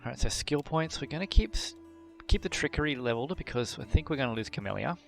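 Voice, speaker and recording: A man hosting a game video, thinking aloud through character choices with frequent fillers and throat clearing. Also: mid-range voice, lively intonation, fast talker, faint music behind. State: voice a bit worn from a long previous session. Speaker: gameplay channel host. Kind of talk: casual gameplay commentary.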